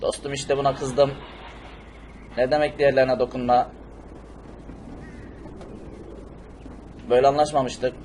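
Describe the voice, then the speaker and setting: Guy doing a cheeky pushy superhero for a cartoon, talks fast, slight background noise. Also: medium pitch, flat delivery, darkish tone, natural voice. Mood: angry. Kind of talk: amateur cartoon-style game video dub.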